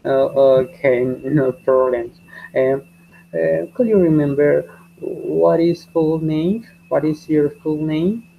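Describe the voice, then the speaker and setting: A man. He talks slowly and with a gravelly edge, and he repeats his questions patiently. The audio is dull and lo-fi, with a faint hiss underneath. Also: a little high, steady tone. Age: 20s. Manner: slow, halting, repeats each question several times. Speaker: English teacher. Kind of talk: beginner English class session.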